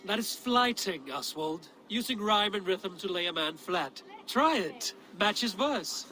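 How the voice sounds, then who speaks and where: An actor playing a bold, blunt Viking warrior who goads and mentors with wry command in a video game cutscene. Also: male voice, high-pitched, animated, measured pace, sharp tone, heavily acted, rough recording. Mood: goading, coaching.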